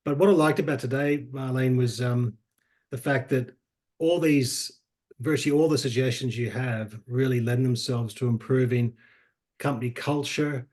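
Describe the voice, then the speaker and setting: A man's voice: medium pitch, steady tone, normal speed, darkish tone. A man hosting a webinar over a video call, talking loosely with many fillers and repeated words. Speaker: webinar host.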